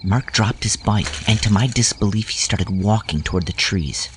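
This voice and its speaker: A man's voice: fairly low pitch, moderate pace, background noise, lightly acted. A man playing a grown-up narrator who recalls a scary boyhood adventure in earnest, dramatic first-person storytelling. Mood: reflective, suspenseful.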